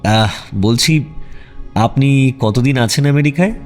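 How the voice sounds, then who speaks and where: Man playing calm detached detective in an audio story, measured and polite, faint music under him. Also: medium pitch, lightly acted. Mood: polite questioning. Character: calm, methodical male private detective. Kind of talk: audio drama dialogue.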